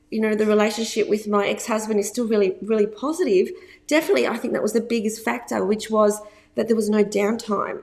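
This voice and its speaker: A woman in her fifties with a slightly high voice talks casually to camera as a business coach. She leans on repeated words for emphasis and laughs easily between points.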